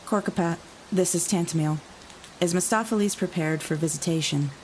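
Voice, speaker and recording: Woman playing a low-voiced, no-nonsense scientist. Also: normal speed, darkish tone, a little hiss or hum, close to an everyday voice, poor audio. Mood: businesslike. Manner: dry, no-nonsense. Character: guarded, businesslike female scientist handling a dangerous entity. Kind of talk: scripted visual novel voice acting.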